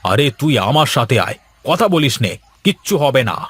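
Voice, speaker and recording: A man voicing a brisk, bossy friend in a fairy-tale cartoon, impatient and hushing his companion. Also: medium pitch, very animated, breathy edge. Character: brisk, bossy friend guiding through the jungle.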